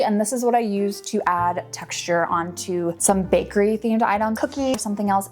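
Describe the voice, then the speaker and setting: Brief: young woman, mid-pitched, hoarse and a bit fried, friendly tutorial chatter, light music underneath. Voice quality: upbeat and chatty, often drops to a whisper. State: hoarse with some vocal fry from allergies. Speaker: polymer clay artist and small business owner. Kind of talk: casual tutorial chat. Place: small home studio in an apartment.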